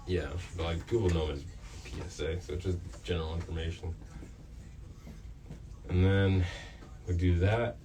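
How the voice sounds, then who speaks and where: Very low-voiced man, casual and hesitant. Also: steady tone, normal speed, long pauses, dark tone. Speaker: student radio host and interviewer. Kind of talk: casual off-the-cuff interview chat. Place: student radio station. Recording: slightly distant mic, faint background noise.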